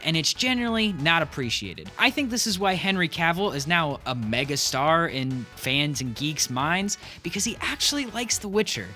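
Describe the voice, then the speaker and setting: A man's voice: high-pitched, sharp tone. A young man narrating a comedic video essay in a wry, punchy list-by-list delivery.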